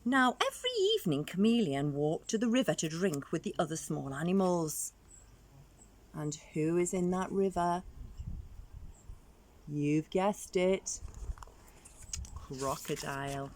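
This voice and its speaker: A woman's voice: on the low side, unhurried, pauses often, a little hiss or hum. A woman reading a story aloud to young children expressively, adding questioning asides for the listeners.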